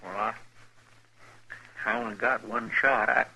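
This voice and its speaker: An actor playing a nervous, loyal, folksy deputy in an old radio western, stammering and hesitant. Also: male voice, lowish voice, fairly even intonation, unhurried, vocal fry, sharp tone, full character voice. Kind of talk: 1950s radio western acting. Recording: noisy vintage radio drama recording.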